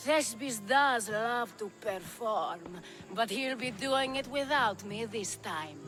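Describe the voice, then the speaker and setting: An actress playing a worldly, flirtatious courtesan in a video game cutscene, with a languid, wry manner. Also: female voice, fairly high pitch, expressive, takes it slowly, a bit bright, soft background music, low-quality recording. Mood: wry, guarded.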